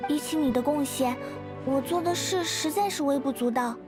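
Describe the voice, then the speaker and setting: A woman voicing a gentle, modest and friendly young woman in an anime game, speaking politely and earnestly. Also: sits high, normal speed, music in the background, lightly acted. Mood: modest, shy. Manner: polite, soft-hearted, earnest.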